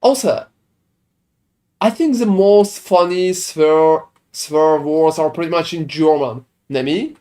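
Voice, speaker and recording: A man's voice: fairly high pitch, slow pace, dark tone. Man from Sweden chatting on a stream. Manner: chatty, rambling, swears, answers chat questions. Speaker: game streamer. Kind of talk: casual gaming stream chat.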